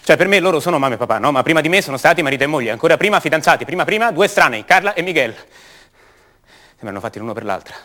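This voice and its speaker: An actor playing an anguished young son of a disappeared mother in a radio drama monologue, halting and repeating words with intensity. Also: fairly high pitch, animated, sharp tone. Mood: tender, wistful. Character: anguished young son of a disappeared woman, raised by her captors.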